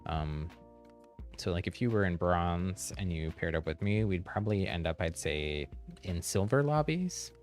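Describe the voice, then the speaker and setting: A man's voice: low voice, expressive, unhurried, faint music behind. A man chatting casually on a game livestream in a rambling, easygoing way. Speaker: live streamer.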